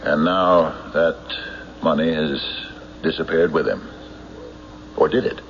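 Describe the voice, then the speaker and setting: An actor playing a suspicious American sheriff in a radio mystery, questioning deliberately with measured pauses. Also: male voice, extremely low pitch, steady tone, creaky, full character voice. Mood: probing, suspicious. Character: suspicious, probing male county sheriff. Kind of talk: radio mystery drama acting. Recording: muffled, hissy old radio recording.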